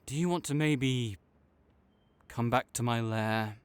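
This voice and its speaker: A man playing a tsundere supervillain in an audio roleplay, halting and exasperated, teasing and reluctantly caring toward a rival. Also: mid-range voice, clean tone, no echo, natural voice. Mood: reluctant, gruff. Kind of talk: intimate audio roleplay addressed to the listener.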